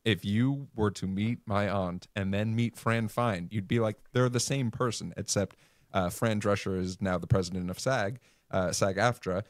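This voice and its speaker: A man's voice: on the low side, steady tone, normal speed, dry recording, studio quality. A man co-hosting a podcast in casual, conversational discussion of television. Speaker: podcast co-host.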